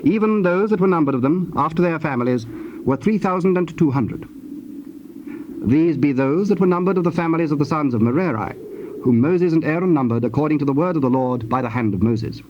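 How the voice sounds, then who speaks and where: A man with a fairly high voice narrates scripture. His delivery is formal and measured, like a scripted recitation. It comes from a muffled old cassette with faint noise. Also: darkish tone. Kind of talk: scripted dramatized Bible narration. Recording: dull, noisy old cassette tape.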